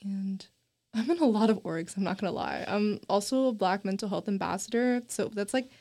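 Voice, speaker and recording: A woman's voice: mid-range voice, normal speed, mellow. A woman in her twenties, a college student chatting casually on a panel, talkative and emphatic with frequent laughs. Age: 20s. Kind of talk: casual group chat.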